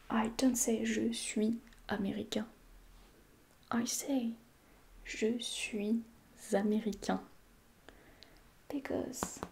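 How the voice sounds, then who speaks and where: Slow whispering French woman. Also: fairly high pitch, creaky. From France. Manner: soft whispering, patient repetition of phrases. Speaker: ASMR creator playing a French teacher. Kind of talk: whispered ASMR roleplay lesson.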